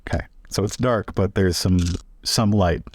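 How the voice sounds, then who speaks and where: A man's voice: low voice, normal speed, a little hiss or hum. A man playing a tabletop game, casually describing what his character does.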